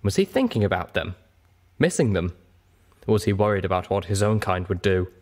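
A man narrating a story as an audiobook reader, in an expressive scripted style. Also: low-pitched, a little fast.

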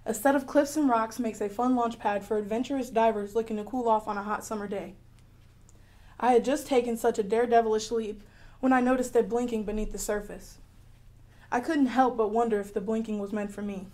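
Clever, adventurous girl heroine woman actor, higher-pitched voice, earnest first-person radio drama storytelling. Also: steady tone, fairly quick, darkish tone, close to an everyday voice. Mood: eager recounting.

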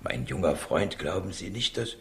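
An actor playing a frail, courteous old professor in a 1960s radio crime drama, speaking in a halting, patronizing way. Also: male voice, low-pitched, sing-song, a bit bright. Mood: evasive. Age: older.

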